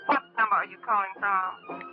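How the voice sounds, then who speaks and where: A woman's voice: mid-range voice, huge pitch swings, normal speed. Female as vintage switchboard operator: courteous, businesslike, formal, dull old-film sound with music under. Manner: formal, routine politeness. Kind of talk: 1950s film acting.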